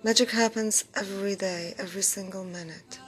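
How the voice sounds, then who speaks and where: A woman guiding a meditation in a soft, breathy, near-whispered voice, soothing and unhurried, in short phrases with pauses. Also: mid-range voice, steady tone, poor audio. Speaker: meditation guide. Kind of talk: guided meditation. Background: background music.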